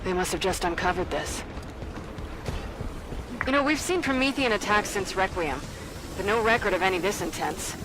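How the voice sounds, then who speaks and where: An actress playing an analytical, level-headed soldier in a video game, matter-of-fact and questioning. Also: female voice, medium pitch, expressive, moderate pace, pauses often, noisy background, close to an everyday voice. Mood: puzzled, focused. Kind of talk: video game cutscene acting.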